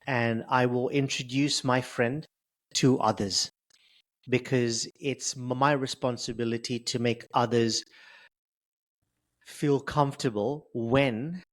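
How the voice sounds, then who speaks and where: A man who stutters speaks slowly and deliberately, his words sometimes catching on repeated sounds. He talks openly about it as an interview guest, often with a touch of humor. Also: mid-range voice, fairly even intonation. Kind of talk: conversational interview.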